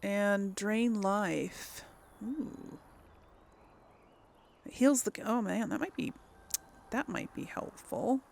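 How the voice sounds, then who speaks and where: A woman's voice: mid-range voice, animated, takes it slowly, vocal fry, pauses often, darkish tone. A woman giving casual let's play commentary, hesitantly thinking aloud as she plays. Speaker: gaming video host.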